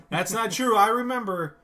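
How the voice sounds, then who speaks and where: A man's voice: high-pitched, slow pace, pushed and bright. A man hosting a podcast from his basement, chatting casually, joking and laughing often. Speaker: podcast host. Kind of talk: casual chat. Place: home basement.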